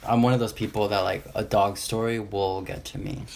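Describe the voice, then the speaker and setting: A woman's voice: rumbling low, fairly even intonation, fairly quick, vocal fry, a little mellow, a little hiss or hum. A woman co-hosting a podcast in casual, conversational talk. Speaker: podcast co-host. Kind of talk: casual group podcast chat.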